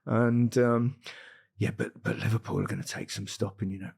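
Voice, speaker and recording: A man hosting a casual football podcast, relaxed and chuckling as he leads the talk. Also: lowish voice, normal speed, creaky, husky. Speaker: host.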